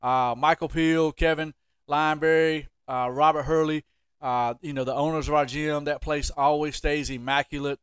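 A man hosts a relaxed sports podcast. His voice sits a little high and sounds clean and smooth, in a dry recording. He talks casually and likes to needle whoever he is chatting with. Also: normal speed, dry recording. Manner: teasing, ribbing. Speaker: podcast host.

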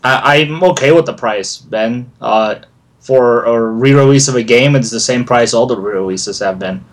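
A man's voice: medium pitch, moderate pace, low-quality recording. A man co-hosting a gaming podcast, blunt and matter-of-fact. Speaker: podcast co-host. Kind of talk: casual gaming podcast chat.

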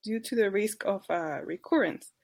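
Mexican-accented woman guest, mid-pitched, earnest, self-correcting storyteller. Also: normal speed, creaky, a little mellow, husky. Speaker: charity advocacy worker. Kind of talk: podcast guest telling a case story.

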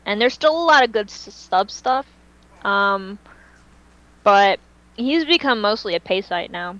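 A woman's voice: mid-range voice, lively intonation, measured pace, a bit bright, no room sound, highs cut off. A woman chatting casually as co-host of a fan podcast, talking in a reminiscing way.

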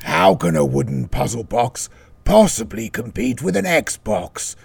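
A man's voice: medium pitch, big pitch swings, measured pace, creaky, breathy edge, exaggerated voice. An actor playing a pompous male demon priest from hell, haughty and peevish.

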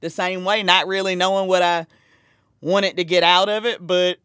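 A man with a Southern US accent and a somewhat high voice hosts a casual conversation. He is agreeable and prone to chuckling. The recording is dry, without room sound. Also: flat delivery, moderate pace, bright, forceful tone, smooth, no echo. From South Carolina, United States. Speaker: podcast host. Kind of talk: casual chat.

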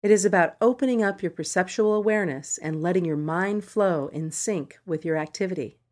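A woman podcast host reading scripted meditation guidance in a measured, instructional manner. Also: lowish voice, darkish tone. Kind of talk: scripted narration of a meditation article.

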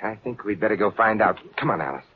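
An actor playing a suave, wry, unflappable gentleman sleuth in a 1940s radio mystery, with a dry, composed delivery. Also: fairly low pitch, animated, fast talker, slightly rough. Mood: concerned. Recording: dull 1940s radio recording.

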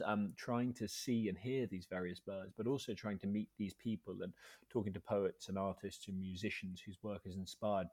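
A young man from Scotland speaks as an interview guest, his voice sitting fairly low and recorded close and dry with no room around it. He talks thoughtfully and hesitantly, with frequent ums and restarts as he works through his answers. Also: darkish tone, no room sound. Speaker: guest, journalist and author. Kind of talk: relaxed interview talk.

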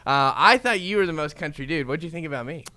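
A young man from Florida hosting a casual church interview, chatty and animated as he tells stories and laughs often. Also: on the high side, fast, no room sound. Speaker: podcast host.

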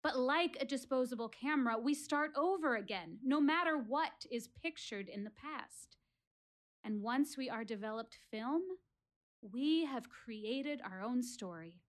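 High-voiced, wistful woman in a stage monologue, acted. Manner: deliberate, expressive, confiding. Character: reflective, wistful woman quizzing her audience about life. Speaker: actress in a one-woman show. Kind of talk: theatrical dramatic monologue addressed to an audience.

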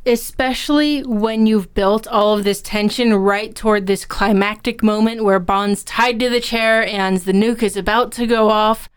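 A woman's voice: mid-range voice, smooth. A woman with a strikingly clean, resonant voice co-hosts a podcast. She talks conversationally, explaining her points in a middling register for a woman. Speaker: podcast co-host. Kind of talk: conversational podcast discussion of writing craft.

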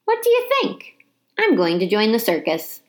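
A woman's voice: mid-range voice, wildly swooping pitch, normal speed. A woman voicing a friendly, chatty male night janitor in a storybook, jovial and playful. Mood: jovial, showy.

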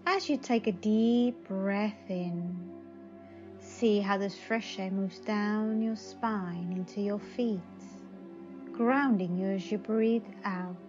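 A woman guides a meditation at a very slow pace, soothing and full of long pauses. Her pitch is average. The recording is slightly dull, with faint music underneath. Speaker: psychic advisor, meditation guide.

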